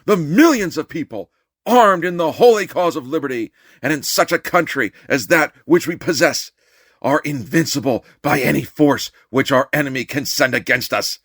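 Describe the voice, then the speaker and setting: A man acts out an impassioned American revolutionary orator of the eighteenth century. The voice is fairly high for a man, bright and forceful, captured close and dry. The delivery is declamatory and emphatic, a dramatic political address calling listeners to war. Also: normal speed, breathy edge, no echo. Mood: stirring, resolute. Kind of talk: dramatic reading of an 18th-century political speech.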